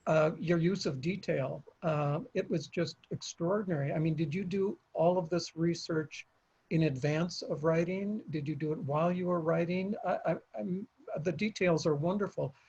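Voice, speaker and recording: An older man, an author, speaking in a panel conversation in a halting, reflective way with frequent pauses. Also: a little high, a little mellow, husky. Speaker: author guest. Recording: dull lo-fi audio.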